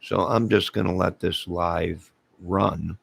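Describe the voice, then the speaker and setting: A middle-aged man with a very low, deeply gravelly voice, worn hoarse from singing. He drawls slowly and rambles loosely through a late-night livestream, greeting his viewers one at a time. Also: little pitch movement. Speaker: livestream host. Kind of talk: late-night casual live chat.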